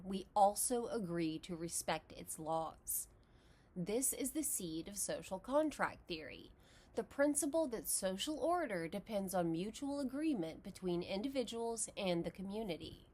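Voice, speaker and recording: A woman with a Texas accent, narrating a scripted philosophy lesson in a measured, explanatory way. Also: mid-range voice. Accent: Texan. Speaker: philosophy lecturer.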